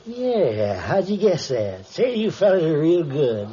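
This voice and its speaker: Folksy, eager old-radio shopkeeper, garrulous henpecked husband, mellow delivery, dull noisy vintage recording. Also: male voice, a little high, big pitch swings, a little slow. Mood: chatty, excited. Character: folksy, chatty, henpecked small-town-style shopkeeper husband. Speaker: crime victim reporting stolen checks. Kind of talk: 1950s radio drama acting.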